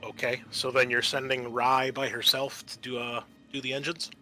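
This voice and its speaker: A man's voice: mid-range voice, little pitch movement, moderate pace, bright, forceful tone, slight background noise. A man refereeing a tabletop game casually as game master, in a hesitant, apologetic way.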